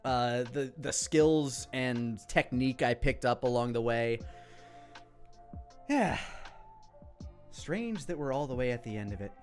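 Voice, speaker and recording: A man's voice: medium pitch, animated, unhurried, pauses often, a little mellow, faint music behind. A man giving casual commentary on his own work, rambling, candid and sweary. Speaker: creator commenting on own parody series.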